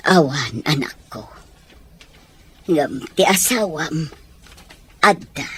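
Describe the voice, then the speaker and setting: A woman's voice: low voice, expressive, slow pace, husky, voice pushed down, heavily acted. A woman voicing a grave older mother who admonishes her grown son in an audio soap drama. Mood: grave, admonishing.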